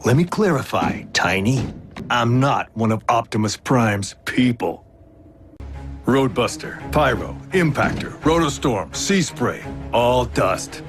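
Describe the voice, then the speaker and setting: Cartoon robot warrior, male: roguish, battle-hardened, laconic and wry, mid-pitched voice over prominent music. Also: animated, slow. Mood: cocky, defiant. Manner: wry, laconic tough-guy drawl with slangy nicknames. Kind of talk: animated series voice acting.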